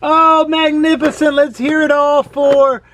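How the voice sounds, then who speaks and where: A man's voice: very high voice, little pitch movement, unhurried, fairly bright tone, no echo. A man playfully announcing a show as host, with a theatrical, grandiose manner. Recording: music behind the voice.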